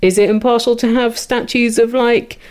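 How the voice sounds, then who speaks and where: A woman's voice: fairly high pitch, flat delivery, normal speed, background noise. A woman chatting satirically as a comedy panellist, with a wry, informal manner.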